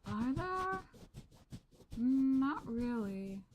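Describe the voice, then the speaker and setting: Soft, giggly young woman streamer. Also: high voice, sing-song, very slow, highs cut off, slight background noise. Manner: soft, gentle and giggly, often dropping to a hush. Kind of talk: cozy maid roleplay ASMR stream, chatting with viewers.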